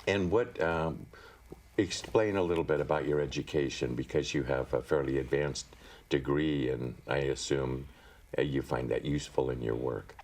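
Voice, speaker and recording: A man hosting a public-access TV interview, asking his guest questions in a measured, friendly way. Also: extremely low pitch, fairly even intonation, a little mellow, slight background noise. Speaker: host.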